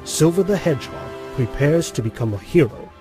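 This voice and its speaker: A man's voice: on the high side, animated, moderate pace. A man delivering scripted, dramatic narration as the narrator. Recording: music behind the voice.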